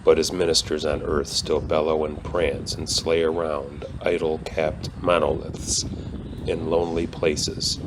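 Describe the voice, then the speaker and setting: A man's voice: very deep voice, measured pace. A man giving a solemn narrated reading of literary horror, with noise behind the voice. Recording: clear steady hum and background noise.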